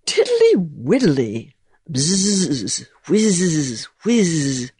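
A woman's voice: deep voice, huge pitch swings, slow pace, warm tone, a little hiss or hum, full character voice. A woman voicing a greedy, easygoing male toad who hums his words in a lilting sing-song.

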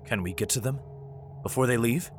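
A man's voice: mid-range voice, fairly quick, music in the background, lightly acted. An actor playing a weary, protective father and guarded survivor, with tense, brooding narration in an audio drama. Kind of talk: audio drama acting with first-person narration.

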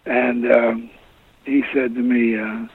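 Older male actor guest, gravelly voice, halting storyteller, heard over a phone line. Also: mid-range voice, level tone. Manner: rambling, halting, many fillers and restarts. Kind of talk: relaxed interview storytelling.

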